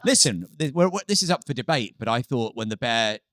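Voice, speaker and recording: A man's voice: medium pitch, lively intonation, a little fast, a bit bright, no room sound, clean studio sound. A man co-hosting a comedy podcast, teasing in a playful, mock-outraged way during casual banter.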